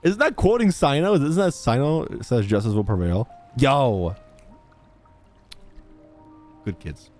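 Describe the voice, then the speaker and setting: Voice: mid-range voice, expressive, moderate pace, darkish tone, soft background music. A game streamer reacting casually to gameplay, playful and slangy, emotionally invested in the story.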